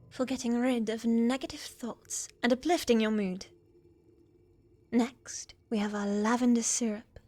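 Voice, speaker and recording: A woman playing a shy, eager young witch's apprentice in a fantasy ASMR roleplay, soft and hesitant, often slipping toward a whisper. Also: on the high side. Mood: eager, proud.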